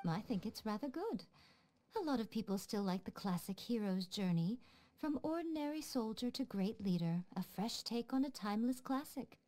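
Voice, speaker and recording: A woman voicing a sly, self-assured fox-eared shrine priestess who runs a publishing house in a video game, teasing and smooth. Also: fairly high pitch, lively intonation, moderate pace, dark tone, lightly acted. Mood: businesslike.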